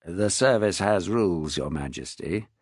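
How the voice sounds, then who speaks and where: A man voicing a tired, heavy-drinking courtier who speaks slowly and deferentially. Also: expressive, darkish tone, slightly rough, no room sound, studio quality, exaggerated voice. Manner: slow, low, deferential. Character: weary, heavy-drinking male courtier, measured and unhurried.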